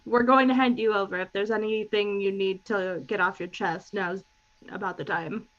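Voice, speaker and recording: A woman's voice: medium pitch, fairly even intonation, moderate pace, fairly bright tone. A woman playing a tabletop role-playing game, talking casually in and out of the game. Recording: slightly dull, lo-fi microphone.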